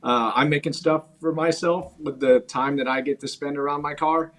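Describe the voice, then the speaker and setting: A man's voice: a little high, steady tone, normal speed, fairly bright tone. A man speaking as a guest in a casual interview, easygoing and earnest. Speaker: company CEO, guest.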